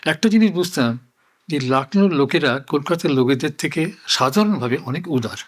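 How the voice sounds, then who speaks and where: Older guy, voice a bit high, looking back on life, says things twice for emphasis. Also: lively intonation, normal speed. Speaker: interview guest. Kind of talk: reflective oral-history conversation.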